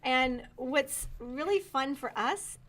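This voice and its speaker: Higher-pitched, chatty, enthusiastic woman co-host. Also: animated, slow pace, a bit bright. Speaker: co-host of a book recommendation show. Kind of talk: casual conversational book chat.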